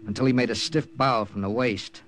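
An actor with an American accent playing a seasoned secret agent captain who narrates a 1950s radio drama. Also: male voice, medium pitch, steady tone, brisk, very noisy, close to an everyday voice. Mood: tense recollection. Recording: old radio broadcast, music underneath.

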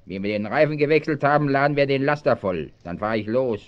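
Actor as a sneering crook, swooping pitch. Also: male voice, lively intonation, brisk, natural voice. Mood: irritated. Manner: menacing, sneering. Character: gruff, threatening male crook and caravan thief. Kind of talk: German children's radio play acting. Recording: dull 1970s audio drama recording.

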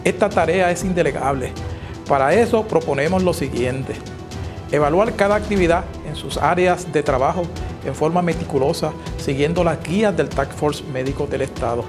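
A middle-aged man with a Puerto Rican accent delivering a scripted, formal address in a measured manner. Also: a little high. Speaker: president of an engineers' association. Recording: music playing behind the voice.

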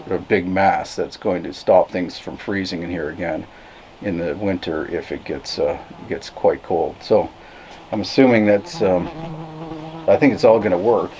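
A man in his fifties explaining casually to camera, unhurried and thinking aloud as he goes. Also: low voice, warm tone, poor audio. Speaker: vlogger, cabin builder. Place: outdoors in a forest, open timber frame. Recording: clear background noise outdoors.

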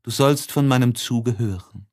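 A man reading a classic literary letter as an audiobook narrator, with a deliberate and expressive dramatic delivery. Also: medium pitch.

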